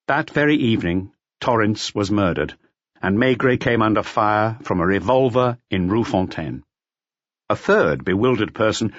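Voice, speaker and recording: A man with a lowish voice narrates a mystery audiobook expressively, with animated intonation. The sound is dry and close, on a slightly dull, lo-fi recording. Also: dry recording.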